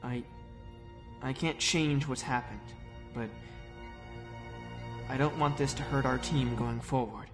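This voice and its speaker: A man voicing an earnest young soldier leader who speaks calmly and conciliatorily. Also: mid-range voice, takes it slowly, long pauses, over music, natural voice. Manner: calm, conciliatory.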